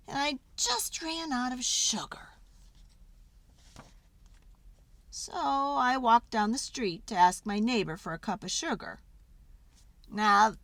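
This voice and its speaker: A woman's voice: medium pitch, a bit bright, dry recording, exaggerated voice. A woman voicing a folksy, mock-sincere wolf who pleads his innocence, in a conversational children's read-aloud style. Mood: chatty, aggrieved. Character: folksy, innocent-acting wolf telling his side, self-justifying.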